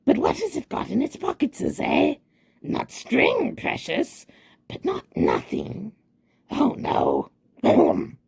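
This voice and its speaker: A woman reading a storybook and voicing a slimy, wretched male cave creature who hisses and whispers, lurching between squeaky highs and low growls. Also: huge pitch swings, slow, darkish tone, heavily acted. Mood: wheedling. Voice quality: hissing, raspy, whispery.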